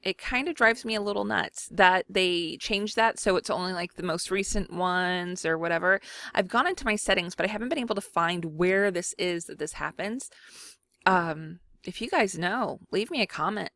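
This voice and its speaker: A woman's voice: on the low side, moderate pace, fairly bright tone, no room sound. A woman from Mississippi chatting casually while she works on a digital scrapbook, rambling and self-deprecating with lots of fillers. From Mississippi, USA. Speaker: scrapbooking vlogger. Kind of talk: casual chat while working.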